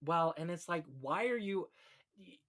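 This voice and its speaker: A man's voice: a little high, animated, unhurried, fairly bright tone. Effusive man chatting about old films. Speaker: podcast host on classic Hollywood films. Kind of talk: casual film-history discussion.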